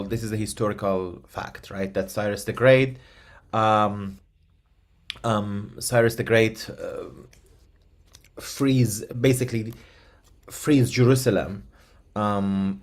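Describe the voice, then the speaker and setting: A man from Iran with a fairly low voice, speaking as a guest expert. His first language is Persian, and he hesitates often, with many ums and restarted phrases. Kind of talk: explanatory interview answers.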